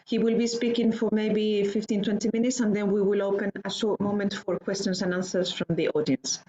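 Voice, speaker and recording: A woman moderates an online training session in a brisk, businesslike way. She hands the floor to speakers with plenty of okays. Her voice is mid-pitched and comes through a computer mic on a video call. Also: fairly even intonation, mellow, dull audio. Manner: brisk, repeats "okay".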